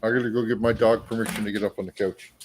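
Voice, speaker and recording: A relaxed man on a video call, chatting and talking to his dog at home. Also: on the low side, very fast, a little hiss or hum. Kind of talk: casual group chat.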